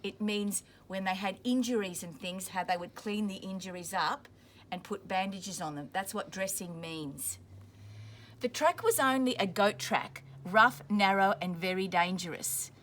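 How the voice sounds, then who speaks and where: Aussie woman reading a kids book out loud, stops to explain the hard words. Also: mid-range voice, slight background noise. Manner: pauses to explain words simply. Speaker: school staff member reading aloud. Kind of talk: reading a picture book to children.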